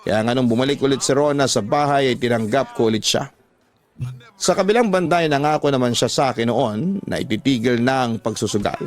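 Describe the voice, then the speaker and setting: A man narrating a dramatic first-person story for radio in an earnest, confessional manner. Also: medium pitch.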